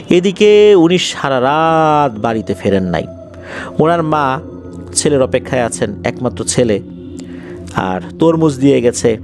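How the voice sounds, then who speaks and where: A man narrating a ghost story as host, in a conversational, dramatic and deliberate way that repeats phrases for suspense. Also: a little high, a little mellow.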